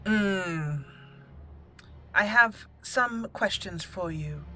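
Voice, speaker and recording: A woman hosting a game playthrough, roleplaying aloud with theatrical, deliberate phrasing. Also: medium pitch, bright, forceful tone, faint music behind. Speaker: gaming channel host.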